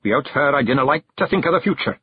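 A man voicing a tiny fairy clan chief with a Scottish accent, brooding, proud and gruff, in a storybook. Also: medium pitch, animated, fast talker, dry recording, lo-fi sound, pitched above the performer's own voice. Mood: heavy-hearted. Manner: gruff, dramatic, stubborn.

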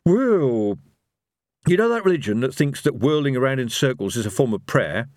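A man's voice: mid-range voice, big pitch swings, normal speed, darkish tone, dry recording, pitched above the performer's own voice, lightly acted. A man voicing a nervy, cowardly fellow who is hesitant, dry and self-justifying, in a storybook reading. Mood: evasive. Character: Rincewind, nervy cowardly man, wry and evasive.

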